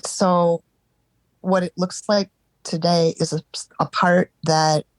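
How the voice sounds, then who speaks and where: A woman in a live therapy session over a call, speaking haltingly and searching for words, stuffed up from crying earlier. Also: on the low side, flat delivery, no room sound, rough recording. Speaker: therapy client.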